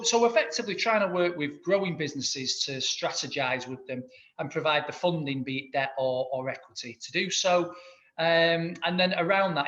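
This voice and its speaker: Mancunian accountant guest, higher-pitched male, keen and rambling, muffled sound. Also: a bit bright. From Manchester. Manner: enthusiastic, rambling with many restarts. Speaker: guest, accountant and business owner. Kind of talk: interview answers.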